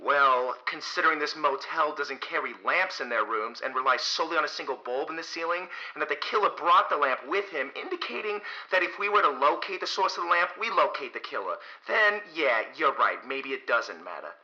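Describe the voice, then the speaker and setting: Wisecracking radio detective, acted by a man, sardonic, cutting bright tone, slightly high pitch, muffled lo-fi sound. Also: lively intonation, brisk, hardly any pauses. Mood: dry, sardonic. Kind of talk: 1930s radio mystery acting. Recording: dull lo-fi recording.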